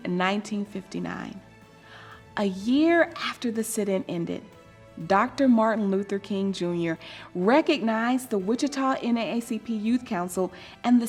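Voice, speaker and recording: A woman narrates a children's story with a deliberate, expressive delivery. Her voice sits somewhat high and she takes her time over each phrase, giving it a dramatic shape. Soft music plays faintly alongside her. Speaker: storybook reader. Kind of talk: scripted children's story read aloud.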